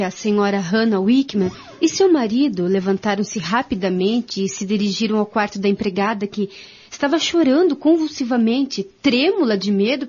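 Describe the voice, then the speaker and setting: A Brazilian woman narrating a scripted radio drama in a husky voice. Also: mid-range voice, darkish tone. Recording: dull lo-fi radio recording.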